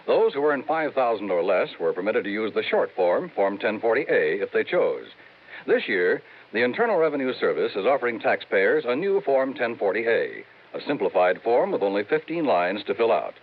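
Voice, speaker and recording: A man announcing in a crisp, formal 1950s radio style. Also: fairly low pitch, sing-song, normal speed, a little hiss or hum. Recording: tinny old broadcast recording.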